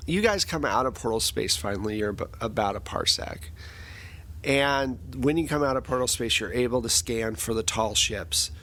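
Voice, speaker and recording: A man's voice: medium pitch, fairly bright tone, a little hiss or hum. A man casually narrating a tabletop sci-fi game as its game master, improvising as he goes.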